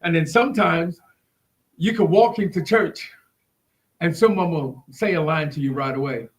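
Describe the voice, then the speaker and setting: A man hosting a casual interview, halting and repeating phrases as he forms his questions. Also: on the high side. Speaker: interviewer, host.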